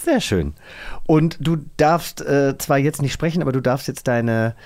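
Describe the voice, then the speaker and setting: Mid-pitched man hosting a casual show. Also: a little slow, darkish tone. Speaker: host. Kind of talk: casual magazine show moderation.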